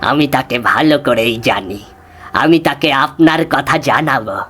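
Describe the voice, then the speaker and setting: A man voicing a learned royal astrologer in a cartoon, giving measured, reassuring counsel. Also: medium pitch, animated, sharp tone, a little hiss or hum. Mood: knowing, reassuring.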